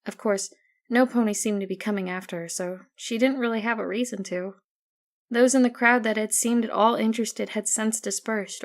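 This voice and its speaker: A woman narrating a first-person story as an audiobook reader. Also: mid-range voice.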